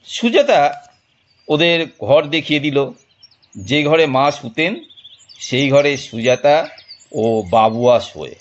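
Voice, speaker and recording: Male narrator, slightly high voice, very varied intonation, dramatic pauses, dull recording with birdsong behind. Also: animated. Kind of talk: scripted audio drama narration of a detective story.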